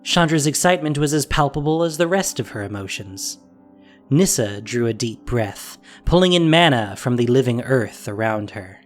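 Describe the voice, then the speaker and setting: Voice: mid-range voice, lively intonation, normal speed, soft background music. A narrator reading a scripted fantasy story in a dramatic audio drama style.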